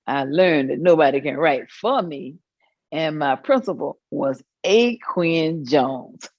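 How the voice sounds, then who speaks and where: A woman's voice: deep voice, a little mellow, no room sound. A woman acts out an elderly working-class Southern woman giving testimony on stage. She is plain and matter-of-fact about hard times. The sound is dry and a little dull. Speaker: stage actress. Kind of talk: staged reading of oral history testimony.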